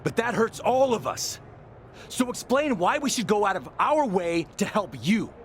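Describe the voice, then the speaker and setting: A man's voice: sits high, big pitch swings, moderate pace, a little hiss or hum. A man playing a resentful, overlooked wrestling teammate who speaks in a pointed, accusatory way in a video game cutscene. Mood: bitter.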